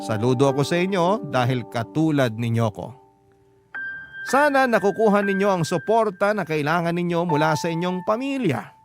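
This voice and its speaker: A man's voice: a little high, expressive, moderate pace. A man with a Filipino accent reading a letter as scripted, sentimental radio drama narration. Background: prominent music.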